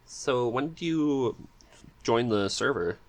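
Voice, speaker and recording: A man's voice: mid-range voice, lively intonation, takes it slowly. A man hosting a podcast, chatting casually and supportively with his guest. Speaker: podcast host. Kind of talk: casual interview chat.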